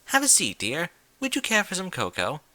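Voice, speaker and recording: Prim, motherly lady pony, played by a man; pitched up, bright, refined and fussy storybook voice. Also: wildly swooping pitch, normal speed, dry recording, full character voice. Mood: airy, hospitable. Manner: genteel, theatrical, chiding diction. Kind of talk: audiobook character voice.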